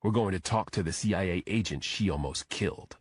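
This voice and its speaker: A man voicing a terse, low-voiced FBI agent. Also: a little fast, slightly rough, no room sound. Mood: official, intent. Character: serious male FBI agent briefing a colleague. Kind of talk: audiobook character dialogue.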